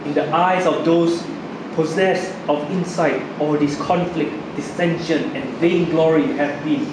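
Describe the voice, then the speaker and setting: Echoey, distant male storyteller, higher-pitched voice. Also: steady tone, a little slow. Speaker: storyteller narrator. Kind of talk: scripted narration of a religious story. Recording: very echoey, noisy room, distant mic.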